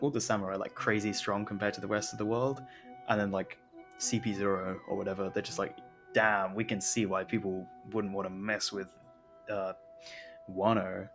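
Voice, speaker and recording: A man streaming a game with casual, halting, slightly mumbly commentary that rambles along. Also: lowish voice. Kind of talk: casual live gameplay commentary. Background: game music.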